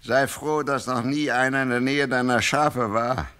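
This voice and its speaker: An actor playing a shepherd boy's gruff but fond father in an audio play, theatrical and affectionate even when scolding. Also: mid-range voice, a little slow. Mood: patient, firm.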